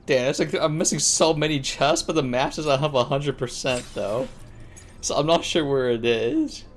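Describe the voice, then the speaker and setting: A man's voice: a little high, moderate pace, slight background noise. A man giving casual, slangy gameplay commentary on a live stream, thinking aloud as he plays. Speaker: live streamer playing a video game.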